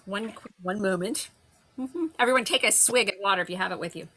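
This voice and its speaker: A woman's voice: medium pitch, quick pace, bright, forceful tone. A woman drama instructor giving casual, effusive, encouraging notes to her cast.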